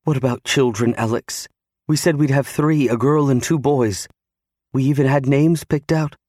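A man's voice: mid-range voice, steady tone, normal speed, darkish tone, no room sound, studio quality, voice pushed up, close to an everyday voice. A man voicing a distraught, pleading wife of twenty-nine in an audiobook, afraid of losing her husband. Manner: pleading, protesting. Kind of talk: audiobook character acting.

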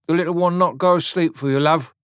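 A man's voice: on the high side, steady tone, a little fast, fairly bright tone, smooth, no echo, studio quality, voice pushed up, lightly acted. A man reading an audiobook voicing an easygoing, amused young soldier with light, laughing banter.

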